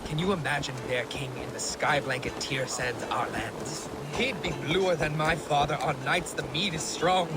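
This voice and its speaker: An actor playing a proud, troubled young Norse warrior leader in a video game. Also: male voice, on the high side, moderate pace, few pauses, close to an everyday voice. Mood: wistful, boastful. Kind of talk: video game character dialogue. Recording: noisy outdoor game ambience behind dialogue.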